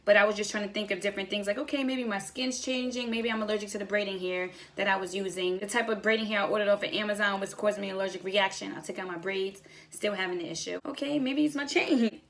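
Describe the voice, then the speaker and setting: A woman's voice: mid-range voice, quick pace, hardly any pauses. A young woman telling a personal story in a casual vlog style, acting out bits of conversation as she goes. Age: young adult. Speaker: vlogger.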